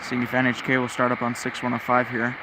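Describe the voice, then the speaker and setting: Heavy background noise and static surround a man with a medium-pitched voice. He talks casually as a shortwave hobbyist hosting a live tuning session, musing aloud as he goes. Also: monotone, fast talker. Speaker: shortwave radio hobbyist host. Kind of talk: casual live commentary while tuning a radio.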